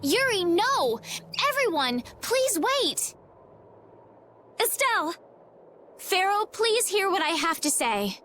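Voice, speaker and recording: An actress playing a gentle, determined young girl in a fantasy game, speaking in a formal, heartfelt, pleading way. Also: very high-pitched, big pitch swings, slow pace, long pauses, a little hiss or hum, exaggerated voice. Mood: urgent pleading. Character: gentle, earnest teenage girl healer, politely determined. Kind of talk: dubbed fantasy game voice acting.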